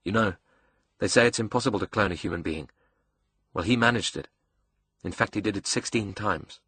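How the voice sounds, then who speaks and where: A man's voice: lowish voice, fairly quick, pushed and bright, slightly rough, heavily acted. A man voicing a cocky, sadistic young villain in an audiobook, brash and sarcastic, with a South African accent. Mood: proud. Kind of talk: audiobook character dialogue.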